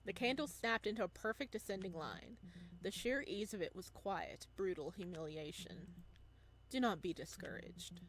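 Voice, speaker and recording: A woman game streamer doing playthrough commentary and reading narration aloud in an expressive, reactive way. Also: medium pitch, normal speed, background noise.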